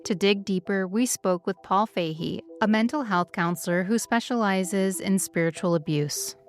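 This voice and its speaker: Calm woman narrating a documentary. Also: medium pitch, moderate pace, soft background music. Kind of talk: scripted narration.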